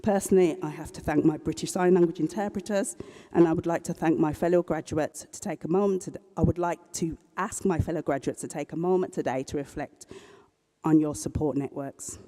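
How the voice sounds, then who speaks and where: Interpreter voicing a speech, formal and earnest, mellow tone, long hall echo. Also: medium pitch, steady tone. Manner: measured, earnest delivery with small self-corrections. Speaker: sign language interpreter voicing a graduate's speech. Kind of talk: formal speech read in a public ceremony. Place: large graduation hall.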